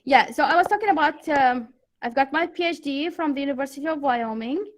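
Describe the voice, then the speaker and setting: A woman's voice: high voice, expressive, a little fast, a little hiss or hum. A woman with an Iraqi Arabic accent leading an online English class in a chatty, encouraging way over a video call. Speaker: English teacher, course host.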